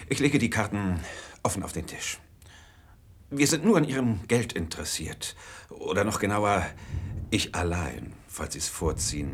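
An actor playing a menacing, coolly polite gentleman villain in a German radio drama, with formal, deliberate and self-important speech. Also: low voice, a little hiss or hum.

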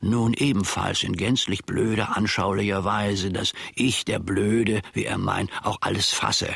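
A male actor in a German radio play, playing a sly, grumbling old manservant with a gravelly, husky voice and a wry, conspiratorial, self-satisfied way of telling things. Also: lowish voice, steady tone, measured pace, a bit bright, dry recording, clean studio sound, exaggerated voice. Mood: sly, confiding.

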